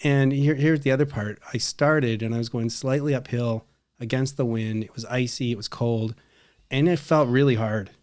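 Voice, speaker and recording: A man's voice: medium pitch, steady tone, moderate pace, a little mellow. A middle-aged man with a Canadian accent, chatting casually and telling rambling anecdotes as a podcast co-host. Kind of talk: casual chat.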